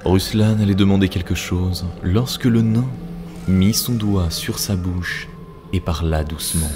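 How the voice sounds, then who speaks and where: A man reading scripted narration for a cartoon story in a solemn, deliberate manner. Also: sits low, noisy background. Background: background music.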